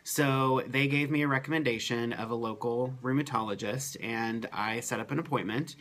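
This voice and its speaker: A man's voice: mid-range voice, steady tone, normal speed, a bit bright. A man talking casually to the camera about his health, matter-of-fact, with many ums and uhs. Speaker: vlogger giving a health update. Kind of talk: casual first-person account.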